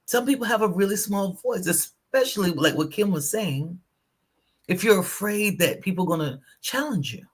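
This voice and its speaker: A woman co-hosting a spiritual live show, chatting casually and telling stories in a lively, animated way. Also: medium pitch, moderate pace, slightly rough. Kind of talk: casual live-stream chat.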